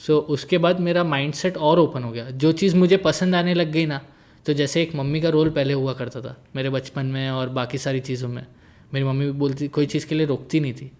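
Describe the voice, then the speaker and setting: A man's voice: fairly high pitch, fairly even intonation, brisk, darkish tone. A man in his late twenties, a guest in a casual conversation, talking in an easygoing, joking way and slipping English phrases into his sentences. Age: 20s. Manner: jokey, easygoing, mixes English into Hindi.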